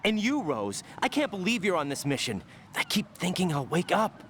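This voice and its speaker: A man's voice: high voice, sing-song, normal speed, a bit bright, a little hiss or hum. A male actor playing an earnest, troubled young rookie soldier in a dramatic video game scene. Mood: troubled, unsettled. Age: young adult. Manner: earnest, intense.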